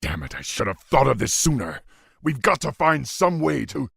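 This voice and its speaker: A man voicing a noble, resolute orc warchief in a fantasy game, with a deep husky voice and weighty, stately delivery. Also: big pitch swings, normal speed, a bit bright, no echo, studio quality, exaggerated voice. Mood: frustrated. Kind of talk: fantasy video game acting.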